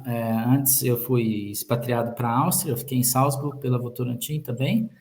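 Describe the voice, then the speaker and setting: A man's voice: medium pitch, moderate pace, darkish tone. Joking Brazilian male guest, full of sayings. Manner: joking, fond of metaphors and sayings. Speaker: guest, sales manager. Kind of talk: conversational interview.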